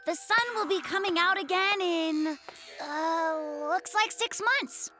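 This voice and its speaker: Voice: very high-pitched, takes it slowly. An actor playing a sensible, friendly boyish brown bear cub in a children's cartoon, with a matter-of-fact way of talking. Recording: children's music and jingles behind.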